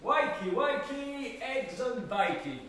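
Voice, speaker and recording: Older British man played by an actor: nostalgic, boastful captor for stage drama, jocular and grandiose, high voice in a very reverberant space. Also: big pitch swings, takes it slowly, pushed and bright, exaggerated voice. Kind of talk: theatrical stage drama dialogue. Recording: very echoey, distant mic, faint noise.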